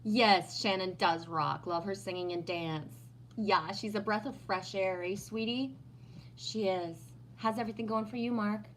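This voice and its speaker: A woman's voice: medium pitch, unhurried, slight background noise, low-quality recording. Playful Texan-born woman in her fifties. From Texas. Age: 50s. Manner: playful teasing, laughs often. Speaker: livestream host reading chat. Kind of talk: casual livestream chat.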